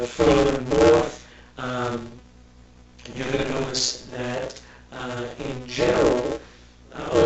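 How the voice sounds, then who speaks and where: A man's voice: mid-range voice, creaky, echoey room, low-quality recording. A man from Texas giving a casual, rambling Bible lesson with many fillers and asides. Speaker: Bible teacher.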